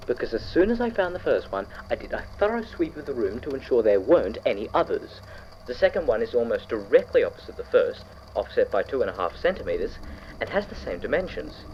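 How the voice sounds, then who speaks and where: A man playing an eager, obsessive scientist recording precise, fussy investigation notes for an audio drama on degraded cassette. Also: mid-range voice. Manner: fussy, precise, excitable, with trailing pauses. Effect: degraded old cassette tape. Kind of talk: audio drama found-tape monologue. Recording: muffled, noisy old cassette tape.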